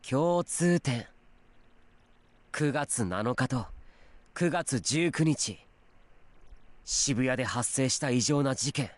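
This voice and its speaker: A male actor playing an earnest, insistent teenage schoolboy sleuth in a Japanese visual novel, speaking deliberately. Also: medium pitch, animated, dry recording, lightly acted. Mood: pointed.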